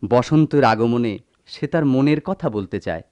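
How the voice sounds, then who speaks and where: A man's voice: medium pitch, lively intonation, moderate pace, a little mellow, smooth, no echo, highs cut off, close to an everyday voice. Guy playing suave flowery lover in a radio play, really lilting up and down, dry sound, theatrical. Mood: romantic yearning. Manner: poetic, theatrical. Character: suave, flowery male suitor, a company manager. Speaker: radio drama actor. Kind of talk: melodramatic radio drama acting.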